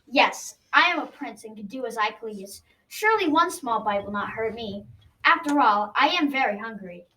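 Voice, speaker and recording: Girl playing a bright, high-voiced bold young knight. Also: expressive, normal speed, slight background noise, low-quality recording. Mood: proud. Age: young adolescent. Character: bold, proud young male knight prince. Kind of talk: school radio drama acting.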